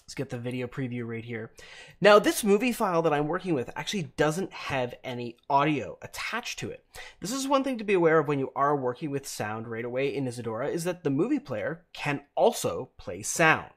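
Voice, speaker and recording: Guy doing a screencast tutorial, mid pitch that moves around a lot, explains stuff in careful chunks. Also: sing-song, fairly quick, fairly bright tone. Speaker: tutorial presenter. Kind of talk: instructional screencast narration.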